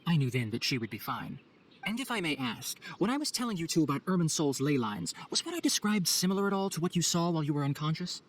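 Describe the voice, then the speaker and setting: A man's voice: a little high, very animated, fast talker, a little hiss or hum. An actor playing an earnest, scholarly young male forest ranger in a video game cutscene, speaking formally and explaining things with composure. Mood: solemn, assured.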